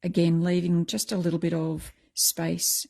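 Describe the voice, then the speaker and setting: A middle-aged woman with a croaky voice, chatting casually as she explains a craft technique step by step. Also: lowish voice, monotone, a little slow, darkish tone. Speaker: craft tutorial host.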